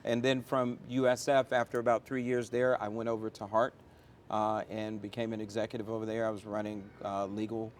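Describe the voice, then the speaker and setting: A man's voice: fairly low pitch, little pitch movement, fairly quick, slight background noise. A middle-aged American man answering interview questions conversationally, with an emphatic, motivational manner and a storyteller's use of examples. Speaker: interview guest, airport chief executive.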